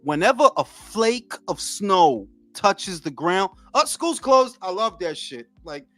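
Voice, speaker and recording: A man in his forties from New York, co-hosting a casual talk show and telling loud, profane stories. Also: high-pitched, slow pace, no echo. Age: 40s. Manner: animated, profane storytelling. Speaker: podcast co-host. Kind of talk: casual chat.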